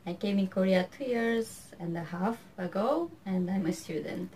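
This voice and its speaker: A woman's voice: medium pitch, normal speed, dark tone, slight background noise. A young woman from Romania, a student, chatting casually and a little hesitantly. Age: young adult. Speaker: student guest. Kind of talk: casual chat.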